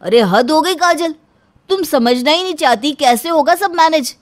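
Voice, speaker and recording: Worried young husband, voiced by a woman, fairly high pitch, weary protests, cartoon domestic tale. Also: lively intonation, moderate pace. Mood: exasperated.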